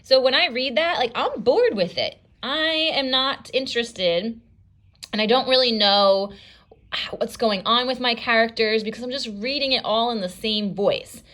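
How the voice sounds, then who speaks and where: A woman teacher reading a story aloud and explaining as she goes, in an expressive, instructional style. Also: on the high side, measured pace.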